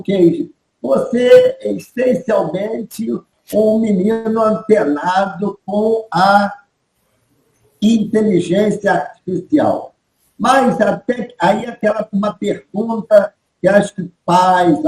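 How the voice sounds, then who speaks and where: A man hosting an online interview, asking questions in a halting, rephrasing way. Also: high voice, mellow, echoey room, rough recording. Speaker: host.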